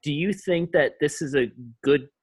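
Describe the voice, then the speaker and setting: A man's voice: on the high side, normal speed, slightly rough, no echo, clean studio sound. A man hosting a podcast, asking questions in an easygoing, encouraging way. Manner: easygoing, encouraging, lots of yeahs. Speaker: podcast host. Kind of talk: casual interview.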